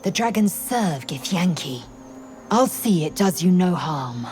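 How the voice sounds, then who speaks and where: A fierce, resolute alien warrior in a fantasy game. Her voice is slightly low and unhurried, haughty and terse, with music underneath. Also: sing-song. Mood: proud, resolute. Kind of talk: dramatic video game acting.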